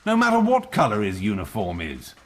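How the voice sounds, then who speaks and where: An actor playing a blunt, impassioned British army major with a husky voice in a wartime radio drama. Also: male voice, on the low side, huge pitch swings, fairly quick. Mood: fiery.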